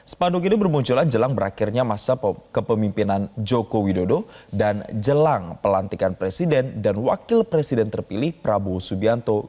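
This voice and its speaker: Low-pitched male news narrator. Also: a little mellow. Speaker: news presenter.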